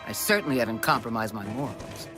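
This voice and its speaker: A man playing a cold, controlling task force authority in a video game, speaking in a measured, formal, pointed way. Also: fairly low pitch, over music, close to an everyday voice. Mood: cool, condescending. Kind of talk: dramatic video game acting.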